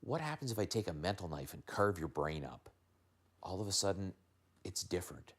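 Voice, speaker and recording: A man in his fifties, a retired Air Force officer, speaking earnestly and softly in a documentary interview, often repeating words as he searches for them. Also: deep voice, lively intonation, a little fast, husky. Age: 50s. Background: background music.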